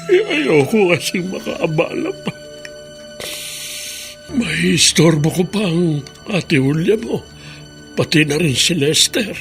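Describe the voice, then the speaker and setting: An actor playing a stubborn, grieving old widowed father in a radio drama, sorrowful and halting. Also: a little high, wildly swooping pitch, a bit bright, faint music behind, heavily acted. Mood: weary, resigned.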